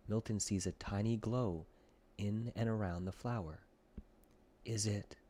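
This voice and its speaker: Low-voiced man narrating a children's story. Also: moderate pace, dark tone. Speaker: audiobook narrator.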